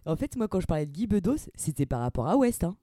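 A woman's voice: lowish voice, sing-song, fast, dark tone, dry recording, studio quality. A woman in a casual film chat who argues her point firmly and insistently. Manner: firm, insistent in disagreement.

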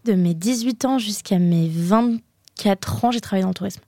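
A woman's voice: medium pitch, normal speed, mellow, no room sound, clean studio sound. A woman in her twenties who grew up in Andorra, a podcast guest talking casually with many hesitations and fillers. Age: 20s. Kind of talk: casual interview chat.

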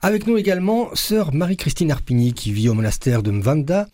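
A courteous radio host with a Belgian French accent, his voice mellow and warm. He speaks calmly into a dry studio microphone. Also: a little high, a little fast, no echo. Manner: calm, courteous interviewer. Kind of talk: radio interview.

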